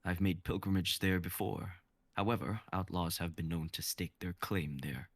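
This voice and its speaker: A man reading Guang, a calm steady guide. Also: deep voice, normal speed, husky, no room sound. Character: calm, knowing male guide figure, Guang.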